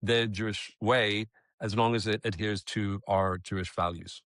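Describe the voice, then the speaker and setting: A middle-aged man from Israel, a copywriter and advocate, telling his story in an interview in an earnest, steady way with frequent restarts. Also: fairly low pitch, measured pace, no room sound, clean studio sound. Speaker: guest, creative copywriter and advocate.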